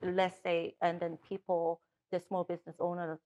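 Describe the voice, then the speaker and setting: A woman's voice: fairly low pitch, flat delivery, moderate pace, darkish tone. A middle-aged woman with a Korean accent, a native Korean speaker, answering interview questions as a political candidate with frequent restarts and fillers.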